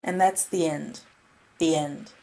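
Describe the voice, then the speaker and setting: A woman's voice: low-pitched, fairly even intonation, slow pace, over loud music. A woman presenting scripted analytical commentary on a piece of media.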